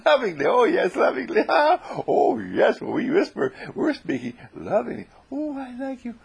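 A man's voice: very high voice, unhurried, vocal fry, a little mellow, husky, pitched above the performer's own voice, full character voice. A man voicing a gushing, affectionate young woman in a story, cooing with delight. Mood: gushing, adoring.

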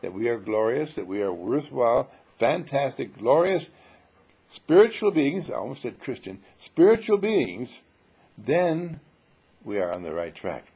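A man giving an informal spiritual sermon full of rhetorical questions, emphatic and dramatic, with a husky, gravelly voice. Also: medium pitch, sing-song, a little slow, dark tone. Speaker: spiritual teacher.

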